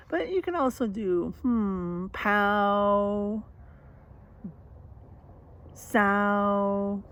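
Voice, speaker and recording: A woman reading a children's story aloud as a teacher would, speaking directly and encouragingly to young listeners. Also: medium pitch. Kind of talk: children's read-aloud with rhyme and vocabulary talk.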